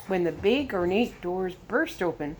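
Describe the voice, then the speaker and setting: A woman reading a children's adventure novel aloud, expressive with the dialogue. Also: lowish voice, a little slow. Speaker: story reader. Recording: clear background noise.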